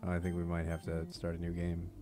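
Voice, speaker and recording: Man, let's-play host, laid-back, very low pitch, faint background music. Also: completely flat delivery, fast talker. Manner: laid-back, reading game text aloud. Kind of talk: casual let's-play banter.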